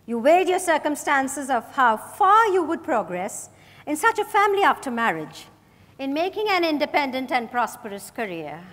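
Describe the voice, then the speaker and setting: Actress doing probing lady detective, high voice that swings about, stage drama, echoey hall. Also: big pitch swings, normal speed, fairly bright tone. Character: probing, accusatory woman political detective, deliberate and philosophical. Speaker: stage actor in a dramatized reading. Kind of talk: theatrical dramatized reading. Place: large hotel ballroom stage. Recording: echoey hall, picked up from the audience.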